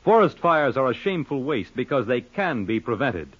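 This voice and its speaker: A man's voice: medium pitch, sing-song, moderate pace, husky. A man reading scripted announcements in a 1940s radio announcer's style. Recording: dull lo-fi old radio recording.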